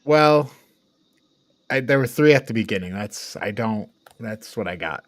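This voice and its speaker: A man's voice: fairly low pitch, animated, a little slow, dry recording. A man co-hosting a review show, chatting casually and conversationally. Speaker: podcast co-host.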